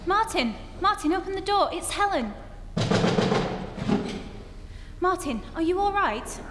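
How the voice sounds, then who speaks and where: Woman acting a high-voiced, worried, loyal confidante. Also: lively intonation, normal speed. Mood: alarmed, concerned. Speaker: amateur stage actor. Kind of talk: old-time radio horror play re-enactment. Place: live stage performance in a reverberant hall. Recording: noisy live theatre hall, long reverb.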